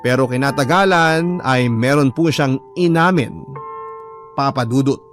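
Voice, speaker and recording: Filipino man narrating over clear music. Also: medium pitch, unhurried, a bit bright. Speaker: narrator reading a listener's letter. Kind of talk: dramatic first-person story narration.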